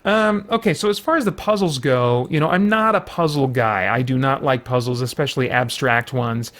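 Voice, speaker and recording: A man's voice: mid-range voice, moderate pace, fairly bright tone. A man giving a casual, rambling game review, full of fillers and restarts.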